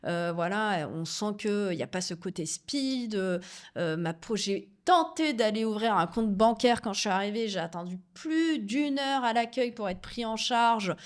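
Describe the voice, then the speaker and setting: A middle-aged woman from the Paris region chats casually, with medium pitch. She hesitates often, filling pauses and restarting her phrases. She is bilingual in French and Portuguese. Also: animated. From France, Paris region. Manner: hesitant, many fillers and restarts, laughs easily. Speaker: co-host sharing expat experience. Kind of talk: casual conversation.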